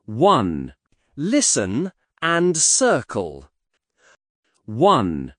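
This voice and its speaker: A woman narrating English textbook audio, announcing unit titles, numbers and instructions slowly and clearly. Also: extremely low pitch, wildly swooping pitch, dry recording, studio quality. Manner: slow, clearly enunciated announcing.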